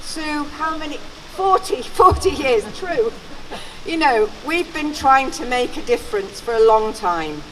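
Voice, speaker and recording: An older woman giving an earnest, halting, emphatic informal speech as a campaigner at an outdoor gathering. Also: sits high, animated, fairly bright tone. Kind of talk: informal public rally speech. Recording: distant outdoor camera, background noise.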